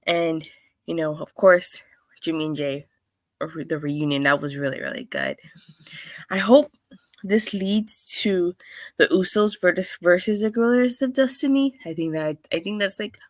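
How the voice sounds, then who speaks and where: A woman with a hoarse, clogged voice from being under the weather, hosting a chatty solo review with lots of restarts. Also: fairly low pitch, sing-song, moderate pace, dry recording. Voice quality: clogged, stuffy voice. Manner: chatty, rambling, with many restarts and fillers. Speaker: wrestling show reviewer, host. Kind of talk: casual solo review talk.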